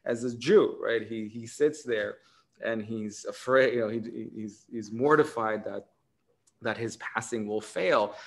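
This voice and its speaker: A man's voice: medium pitch, fairly bright tone. A man in his thirties from Israel with an Israeli accent, talking in an academic conversation on a video call from home, thoughtful, with many fillers, restarts and tag questions. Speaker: author in conversation. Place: home room indoors.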